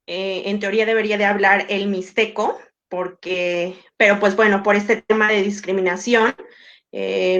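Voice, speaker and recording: A woman's voice: medium pitch, a bit bright. Southern Mexican woman, slightly muffled call audio. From Pinotepa de Don Luis, Oaxaca, Mexico. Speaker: panel guest. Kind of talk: informal panel discussion. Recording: dull lo-fi video call audio.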